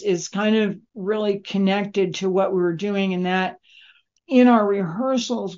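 A woman interviewer speaking conversationally, with deliberate, reflective phrasing. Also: medium pitch, darkish tone. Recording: dull, lo-fi band-limited sound.

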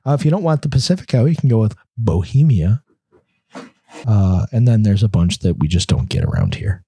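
A man co-hosting a casual podcast, talking through a bit of congestion. His low, mellow voice has a husky edge. He rambles with frequent fillers, recorded close and dry. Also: very animated, brisk, dry recording. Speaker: podcast co-host. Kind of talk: casual chat.

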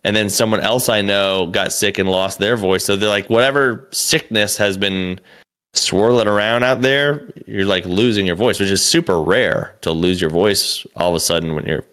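A man's voice: fairly low pitch, moderate pace, a bit bright. A young man, a comedian and podcast co-host, telling a story in a loose, rambling way, full of "like" and restarts, with casual swearing. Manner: rambling, lots of "like" and restarts, profane.

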